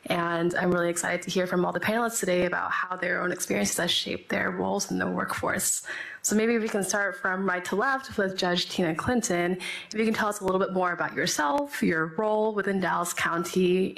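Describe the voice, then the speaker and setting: A woman's voice: lowish voice, vocal fry, a bit bright, poor audio. A woman in her twenties moderating a panel discussion in a friendly, organized way. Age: 20s.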